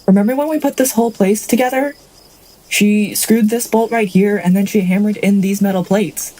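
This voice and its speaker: Casting: woman to play a steadfast, comforting rancher, dark husky tone, western radio play. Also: mid-range voice, steady tone, normal speed, close to an everyday voice, low-quality recording. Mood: consoling, wistful. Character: Gertrude, loyal, consoling rancher friend. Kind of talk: audio drama acting.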